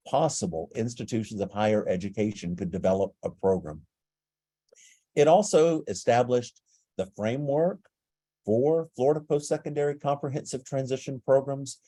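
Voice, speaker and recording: A man with a stuffy, nasal voice presenting a webinar and explaining things in a steady way over a video call. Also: mid-range voice, no room sound.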